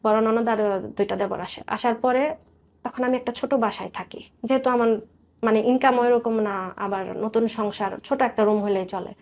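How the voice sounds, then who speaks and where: A woman's voice: a little high, fairly even intonation, normal speed, a little mellow. A woman with a Bangladeshi accent recounting her life in an interview, earnest and detailed, often circling back over points. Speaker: guest telling life story. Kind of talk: personal storytelling in a radio interview.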